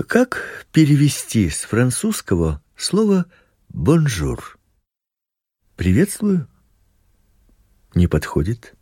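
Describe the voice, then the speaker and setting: A man giving a scripted, measured religious lesson narration, posing rhetorical questions as he reads. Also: deep voice, breathy edge, dry recording, studio quality. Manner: slow, measured, expressive reading with rhetorical questions.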